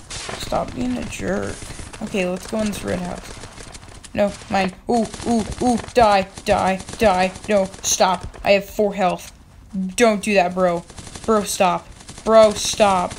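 A young woman with a slightly raspy voice, giving casual commentary over her own gameplay and yelling at other players. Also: mid-range voice, slight background noise. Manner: excitable, yells at other players, quick laughs. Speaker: gaming channel host.

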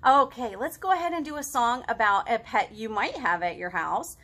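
A woman in her thirties leading a storytime for young children, expressive and encouraging, with simple child-directed phrasing. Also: fairly high pitch, moderate pace. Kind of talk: animated children's storytime, reading aloud and chatting to young children. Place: living room at home.

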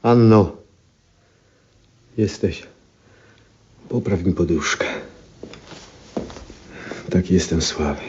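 An actor playing an ailing, elderly astronomer in a 1970s radio drama, weary yet stubborn, with a husky, breathy voice and solemn, halting delivery. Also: male voice, deep voice, darkish tone, slight background noise. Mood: weak, weary. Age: older. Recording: dull vintage radio recording.

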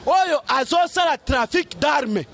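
A man's voice: very high-pitched, expressive, slow, creaky. A middle-aged man with a Congolese accent giving an impassioned, emphatic political speech in the street, speaking into a handheld microphone. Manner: declamatory, emphatic, often shouting. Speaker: political activist, street orator. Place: outdoors on a street among onlookers.

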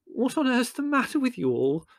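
A man's voice: very high voice, big pitch swings, moderate pace, gravelly, pitched above the performer's own voice, exaggerated voice. Man voicing a plaintive, bewildered mother. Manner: plaintive imploring. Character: bewildered, imploring middle-aged mother, mild and genteel.

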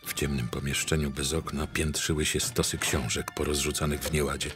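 A man performing as the grave narrator of a dark mystery audio drama, reading scripted literary prose. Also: rumbling low, level tone, brisk. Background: loud music.

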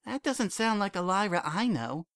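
A man's voice: high-pitched, very animated, normal speed, a bit bright, no echo, clean studio sound, pitched above the performer's own voice, exaggerated voice. A man doing a worried, caring pony mare. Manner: anxious, pleading, calls others sweetie. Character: kind, caring female pony gardener.

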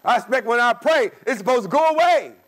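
A man's voice: very high voice, animated, quick pace. A man in his sixties preaching a sermon in a church, emphatic, with call-and-response questions. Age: 60s. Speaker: pastor. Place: church sanctuary with stone wall.

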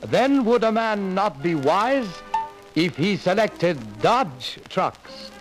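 A man playing a wise old sage in a 1950s sales film, speaking in a slow, solemn, proverb-like manner. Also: sits high, very animated, soft background music, full character voice. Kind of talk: 1950s industrial film acting.

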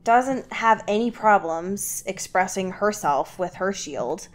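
A woman's voice: mid-range voice, lively intonation, slow pace. A woman podcast host chatting casually and retelling a story in slangy language, acting out reactions as she goes. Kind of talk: casual, slangy chat recapping a book.

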